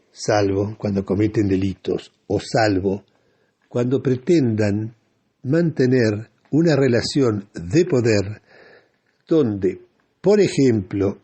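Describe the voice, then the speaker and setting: An Argentine man giving political commentary on the radio in a deliberate, didactic way, often posing rhetorical questions. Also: medium pitch, mellow, husky.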